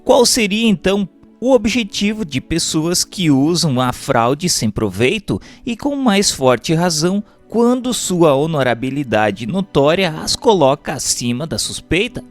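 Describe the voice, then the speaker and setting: A man with a Brazilian accent reads a scripted book chapter as narrator, in a formal, measured manner. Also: on the high side, big pitch swings. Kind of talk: scripted narration of a spiritual treatise. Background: background music.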